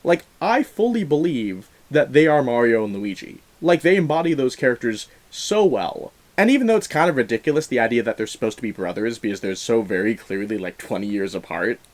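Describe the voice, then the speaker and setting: A man's voice: medium pitch, animated, brisk, few pauses. A man giving a casual, opinionated film review, leaning emphatically on key words in an earnest way. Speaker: film review show host.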